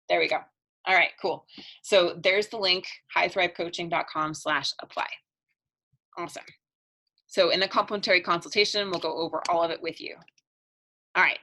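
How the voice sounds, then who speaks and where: A woman's voice: lowish voice, pushed and bright. Upbeat woman coach, losing her voice. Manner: upbeat, chatty, laughs between points. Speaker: marriage coach. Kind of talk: live online webinar pitch.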